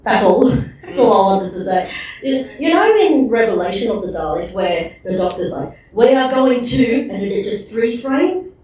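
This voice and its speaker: A woman's voice: mid-range voice, expressive, normal speed, a little mellow. A woman chatting casually and enthusiastically as a fan at a live discussion. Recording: very echoey room, distant mic, faint noise.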